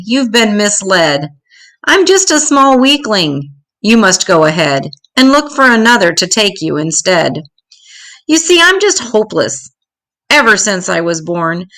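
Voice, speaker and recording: A woman's voice: medium pitch, fairly bright tone. A woman voicing a weak, frightened young donkey in a storybook, woeful and pleading.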